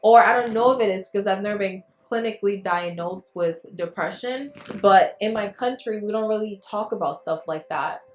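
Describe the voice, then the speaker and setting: A woman's voice: medium pitch, moderate pace, few pauses, reverberant. A woman from Liberia chatting casually and reflectively as a vlogger, sharing personal thoughts in a candid, conversational way. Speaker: vlogger hosting a YouTube channel. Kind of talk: casual confessional chat to camera.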